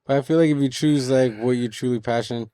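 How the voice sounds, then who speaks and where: A man hosting a casual podcast interview, easygoing and quick with "okay, okay" replies. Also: mid-range voice, warm tone. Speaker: podcast host.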